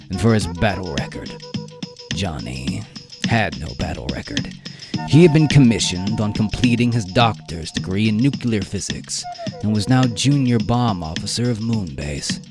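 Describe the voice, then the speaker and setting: A man narrating a live radio drama in a theatrical manner, with measured pauses and a musical score behind him. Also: fairly low pitch.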